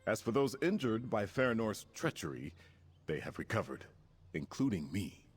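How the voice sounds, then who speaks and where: Resolute male alliance commander for fantasy game: low pitch, stately formal delivery, music behind. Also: big pitch swings, normal speed, slightly rough, dry recording. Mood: formal resolve. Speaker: quest-giver in a fantasy video game. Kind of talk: scripted game dialogue.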